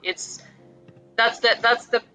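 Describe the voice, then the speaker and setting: A woman's voice: on the high side, steady tone, slow pace, sharp tone, clear, resonant tone, soft background music, poor audio. A woman in her forties from Greece with a Greek accent, a guest author talking reflectively about parenting, with light self-deprecating humor. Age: 40s. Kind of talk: casual interview talk.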